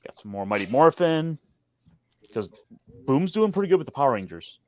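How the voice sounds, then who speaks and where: A man showing off his comic collection in a casual chat, speaking in a relaxed, hesitant way with fillers. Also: on the high side, moderate pace, no room sound. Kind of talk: casual show-and-tell chat.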